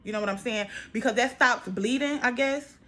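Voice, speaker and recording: A woman's voice: a little high, fairly quick, fairly bright tone. An American woman in her twenties chatting casually to viewers, emphatic and repeating phrases for stress. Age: 20s. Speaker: vlogger. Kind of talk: casual personal vlog chat to viewers.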